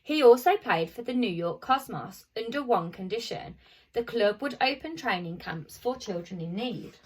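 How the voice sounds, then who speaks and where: A woman's voice: fairly high pitch, a bit bright, far from the mic, low-quality recording. A woman primary school teacher reading aloud to children in an informal, chatty way.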